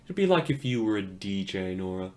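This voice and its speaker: A man's voice: low-pitched, sing-song, a little fast. A man playing a wry, awkward, self-deprecating small-time radio news host in a fiction podcast set after the apocalypse. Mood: chatty, hesitant. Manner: rambling, self-deprecating, dryly sardonic.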